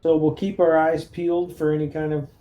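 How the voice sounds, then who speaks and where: A man's voice: on the high side, level tone, fairly quick, muffled recording. A man chatting casually as a player in a tabletop roleplaying game, talking through his moves.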